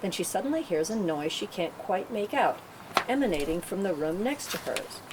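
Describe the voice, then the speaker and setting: A woman's voice: medium pitch, sing-song, normal speed. A woman reading stage directions as narrator at a script table read, in a matter-of-fact way. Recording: clearly noisy room recording.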